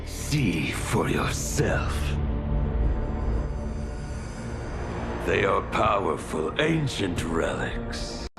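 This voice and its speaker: An actor playing a gloating, grandiose mad villain in a video game cutscene, speaking in a deliberate, theatrical way. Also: male voice, low voice, very animated, gravelly, over loud music, exaggerated voice. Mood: smug, scheming. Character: gloating mad villain, male chaos titan from another timeline.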